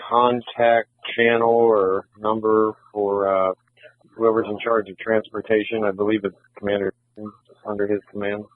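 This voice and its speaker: A man's voice: fairly low pitch, flat delivery, normal speed, no room sound. A man working as a bike patrol officer, making a request over a muffled, narrow-band police radio.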